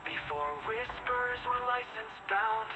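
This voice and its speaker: A woman's voice: fairly high pitch, expressive, slow pace. A woman performing an eerie dystopian song in half-spoken, half-sung verses. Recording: dull lo-fi radio sound under music. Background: music.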